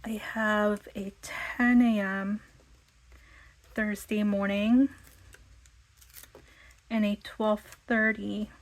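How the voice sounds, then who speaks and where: A woman with a slightly raspy voice, chatting casually and thinking aloud with lots of fillers as she plans her week. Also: mid-range voice, little pitch movement, drawn-out words, pauses often, poor audio. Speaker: vlogger. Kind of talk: casual planning chat.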